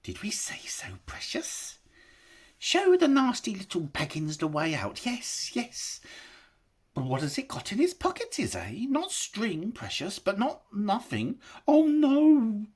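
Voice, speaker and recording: A man voicing a wretched, whispering male cave creature who hisses, wheedles and talks to himself in a high, breathy voice. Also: huge pitch swings, takes it slowly, darkish tone, full character voice. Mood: wheedling. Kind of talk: audiobook character voice.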